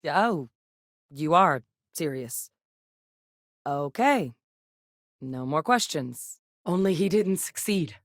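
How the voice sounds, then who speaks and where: An ex-soldier woman for a game, low-ish voice, matter-of-fact, dead dry studio sound. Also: lively intonation, slow, pauses often, no echo. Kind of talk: video game voice acting. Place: recording studio.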